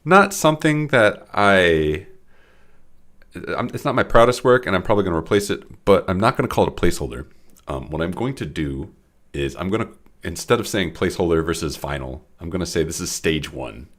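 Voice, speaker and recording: A man's voice: deep voice, expressive, fairly quick, fairly bright tone. A young man with a stuffy, congested voice, rambling casually and self-deprecatingly while he streams his game development. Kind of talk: casual live-stream commentary.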